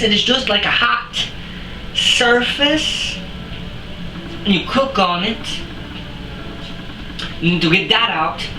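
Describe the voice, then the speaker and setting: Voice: medium pitch, roomy sound, background noise, close to an everyday voice. A comedian playing a clumsy, boastful fake French chef on a comic cooking show, using a put-on French accent that slips into Australian or British, with broken English and crude swearing. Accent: put-on French, drifting to Australian or British. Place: home kitchen.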